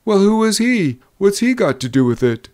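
A man acts out a talkative city salesman for a Western storybook. The voice sits high and excitable, clear and smooth. He fires off questions like a jocular fellow out of his depth. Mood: anxious, questioning. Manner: excitable, rapid-fire questioning. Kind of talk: storybook character voice in a Western tale.

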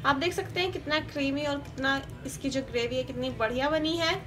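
High-voiced woman guiding a home recipe. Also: a little fast. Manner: steady instructional, repeats each step. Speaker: cooking channel host. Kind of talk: step-by-step recipe voice-over. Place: home kitchen. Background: sizzling and stirring in a pan.

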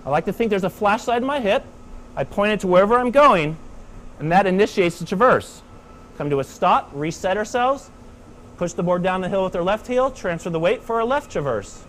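A man in his thirties coaching beginners through snowboard moves in short, calm cues. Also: high-pitched, fairly quick, slight background noise. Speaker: snowboard instructor. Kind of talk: step-by-step instructional demonstration. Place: indoor training slope under a roof.

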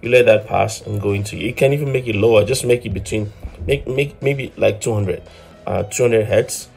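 Man explaining hands-on, with slightly low voice. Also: moderate pace, vocal fry, a little mellow, faint music behind. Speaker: host of an electronics DIY channel. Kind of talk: informal hands-on electronics tutorial.

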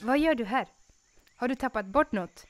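A woman's voice: on the high side, lively intonation, lightly acted. A woman voicing a fussy little bird, a lion king's lookout, in a cartoon fan dub, nagging and flustered. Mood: disapproving. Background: music.

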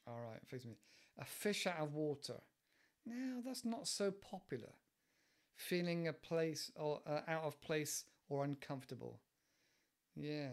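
A man in his forties with an English accent, explaining idioms in a relaxed, rambling way on a home podcast, with many fillers and restarts. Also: fairly high pitch. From England. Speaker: English teacher podcast host. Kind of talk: casual explanatory monologue. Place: living room at home. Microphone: headset with desk mic, webcam.

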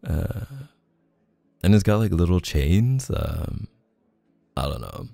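A man with a deep, mellow voice plays an affectionate boyfriend in an intimate roleplay. He talks casually and unhurriedly, hesitating in a bashful, playful way. The recording is close and dry. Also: very animated, slow, gravelly, no room sound. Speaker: ASMR boyfriend roleplay performer. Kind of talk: intimate casual one-sided roleplay acting.

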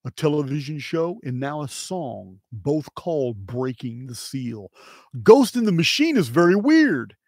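A middle-aged American man hosts a solo presentation in a somewhat high-pitched voice. He speaks slowly and emphatically, breaking his commentary into short, deliberate phrases. The sound is close and dry, from a microphone right by him. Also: very animated, no room sound. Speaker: video host and presenter. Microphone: close microphone on boom arm.

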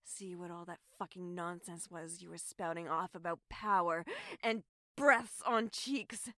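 An actress playing a vengeful, wounded young woman in an audio drama, speaking with bitter, halting intensity. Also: lowish voice, steady tone, pushed and bright, no echo, studio quality. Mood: quiet, vengeful.

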